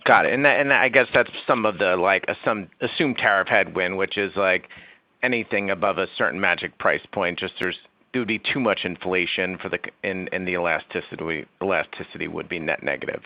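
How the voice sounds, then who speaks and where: A man's voice: sits low, fairly quick, dry recording. A man speaking as a financial analyst, asking conversational business questions on a conference call. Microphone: conference call line. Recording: dull, dry conference call audio.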